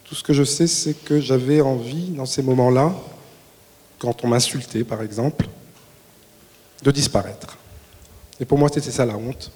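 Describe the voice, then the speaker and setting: A man on a panel at a public talk, answering in a measured, thoughtful way. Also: medium pitch, darkish tone. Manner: measured, thoughtful, speaks in full sentences. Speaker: panel guest. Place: large hall with an audience. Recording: reverberant hall.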